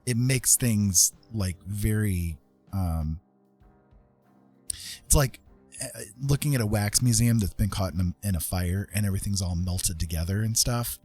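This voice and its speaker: A man chatting casually as he hosts an art livestream, rambling along in a self-deprecating, folksy way with a husky voice. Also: on the low side, darkish tone. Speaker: livestream host and digital artist. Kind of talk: casual livestream chat while drawing.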